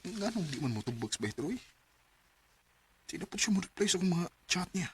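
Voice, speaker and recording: An actor playing a heartbroken young man in a radio drama, talking emotionally and turning from shouts to mutters as he drinks to forget. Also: sits high, big pitch swings, brisk, a little hiss or hum. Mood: despondent.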